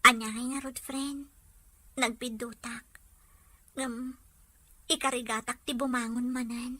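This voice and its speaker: Woman acting a hurt young woman in a drama, dutiful daughter type, highish bright voice. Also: level tone, a little slow. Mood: heartbroken. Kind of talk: dramatic dialogue in a serial drama.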